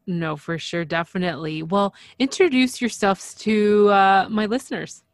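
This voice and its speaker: A woman's voice: medium pitch, unhurried, no room sound, studio quality. A woman hosting a podcast who greets her guest in an upbeat, welcoming way. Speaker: podcast host. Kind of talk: casual podcast interview.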